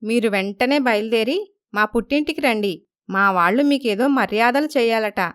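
A woman playing an emotional, insistent young wife in an animated moral story, given to reproach. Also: fairly high pitch, moderate pace, clear, resonant tone, dry recording, studio quality, lightly acted.

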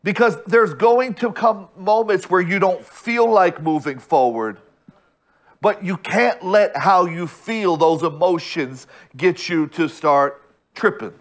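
A man's voice: sits high, slow. A man in his thirties preaching a sermon as pastor from a church stage, repeating his points with emphasis and frequent restarts. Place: church stage with bright screen backdrop.

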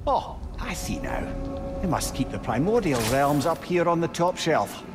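An actor playing a wry, chatty old talking head and loyal advisor with a Scottish accent in a video game. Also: male voice, on the high side, sing-song, moderate pace. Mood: earnest, persuasive. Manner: chatty, wry, earnest persuasion. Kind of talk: video game dramatic acting. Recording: game audio under music.

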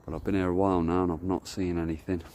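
A man's voice: low voice, flat delivery, fast talker, dark tone. A man chatting casually as he walks around a lake, filming on his phone, rambling and correcting himself as he goes. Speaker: carp angler vlogger. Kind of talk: casual walk-and-talk vlog. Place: outdoors by a lakeside, walking through bushes. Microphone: phone camera held while walking.

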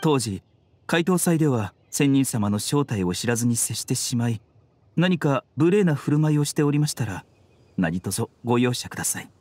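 A man voicing a gentle, tactful physician in a video game, speaking in very polite, measured, formal phrases. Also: medium pitch, animated, close to an everyday voice. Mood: respectful. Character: courteous, composed male physician, gentle and tactful.

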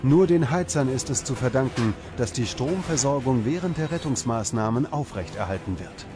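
A man's voice: medium pitch, animated, normal speed. Male documentary narrator over music. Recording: music under the voice. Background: background music.

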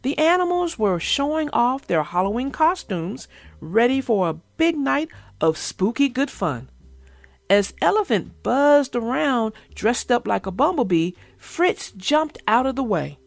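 A woman narrating a storybook for children in an animated, expressive way. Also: on the high side, a little slow, gravelly, no echo. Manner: expressive, addressing listeners directly. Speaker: children's read-aloud narrator.

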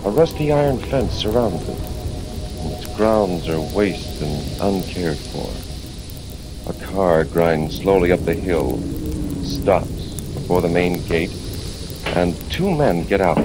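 A man hosts a vintage radio horror programme with a very deep voice. He narrates slowly and ominously, with dramatic weight, over clear music on a 1940s recording. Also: animated. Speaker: host and narrator.

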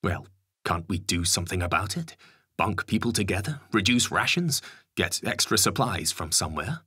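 A man acts out an anguished Prime Minister in a story, a man of conscience. The voice is low, rough-edged and very mobile in pitch, full of indignation. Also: lively intonation, normal speed, sharp tone, no echo, studio quality, exaggerated voice. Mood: pleading. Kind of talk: acted dialogue in a dramatic story reading.

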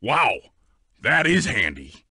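An actor playing a big, dim, gentle hippo sidekick in a video game, simple and childlike. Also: male voice, medium pitch, wildly swooping pitch, slow, gravelly, breathy edge, no room sound, clean studio sound, heavily acted. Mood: simple wonder.

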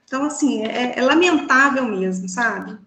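A high-voiced woman with a Minas Gerais Brazilian accent argues her views in an indignant tone, leaning on rhetorical questions and fillers. She speaks through a laptop webcam mic on a video call, and the small room around her sounds echoey. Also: animated, moderate pace, bright, forceful tone, a little hiss or hum. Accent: Brazilian Portuguese, Minas Gerais. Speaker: panelist. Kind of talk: opinionated commentary on a video call. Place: small indoor room with a plain wall.

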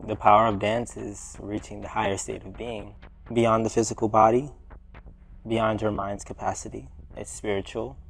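Young man, from the Bronx, gravelly, lowish voice, thoughtful, measured dancer interview. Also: little pitch movement. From Bronx, New York. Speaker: dancer and performer. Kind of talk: reflective interview talk.

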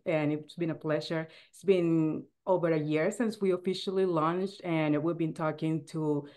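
A woman in her thirties from El Salvador, speaking English, answering interview questions on a video call with frequent hesitations. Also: lowish voice. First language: Spanish. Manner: hesitant, many fillers and restarts. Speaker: guest. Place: bright room at home.

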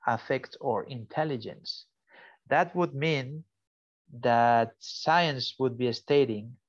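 A man with a strong Spanish accent gives an earnest lecture over a video call, now and then pausing to hunt for an English word. The sound is muffled and dry, with no room around it. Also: mid-range voice, no room sound. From Spain. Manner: earnest, searches for English words. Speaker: invited speaker. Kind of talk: online lecture.

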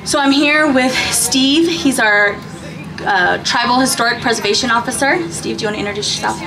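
Fairly high-voiced woman speaker. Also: brisk, over music, rough recording. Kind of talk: informal welcome speech. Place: outdoor festival stage. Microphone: stage microphone through PA speakers.

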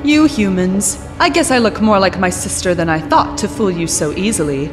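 A woman's voice: mid-range voice, expressive, normal speed, in a large hall, over music, natural voice. A woman voicing a scheming, haughty alien princess who gives orders with contempt. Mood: gloating. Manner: haughty, commanding.

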